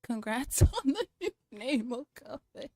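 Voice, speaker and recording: A woman's voice: high voice, lively intonation, slow pace, a little mellow, slightly rough, dry recording, studio quality, soft background music. A woman streamer chatting casually and playfully, often giggly, between bits of reading a visual novel aloud. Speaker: VTuber streamer, host.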